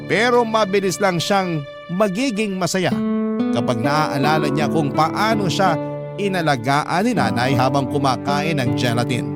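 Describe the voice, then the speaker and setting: A man's voice: fairly high pitch, close to an everyday voice. A man playing a lovestruck young Filipino narrator, telling his romance sentimentally as scripted radio drama. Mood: tender, wistful. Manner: sentimental storytelling. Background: loud guitar music.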